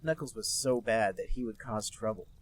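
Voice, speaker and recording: A man co-hosting a comedy podcast, reading a bad fan story aloud in a deadpan voice and adding mocking asides. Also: lowish voice, normal speed, heavy background noise.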